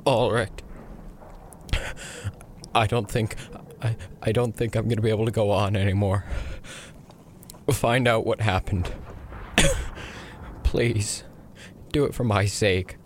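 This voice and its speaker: A man voicing a dying soldier in a war story, halting and pleading between coughs. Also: fairly low pitch, fairly even intonation, slight background noise, voice pushed up. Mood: failing, pleading. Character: dying male soldier begging comrades to continue.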